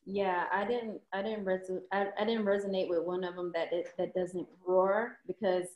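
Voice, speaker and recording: A woman's voice: mid-range voice, fairly even intonation, moderate pace, echoey room, dull audio. A woman on stage as a seminar guest, answering questions hesitantly with many restarts. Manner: hesitant, restarts, laughs a lot. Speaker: seminar guest in the hot seat. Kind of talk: live stage conversation.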